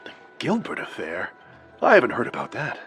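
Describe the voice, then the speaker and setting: An actor playing an inquisitive, suspicious doctor turned amateur detective in an audio drama, with a husky voice. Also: male voice, mid-range voice, wildly swooping pitch, normal speed, a bit bright, soft background music. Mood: curious, puzzled.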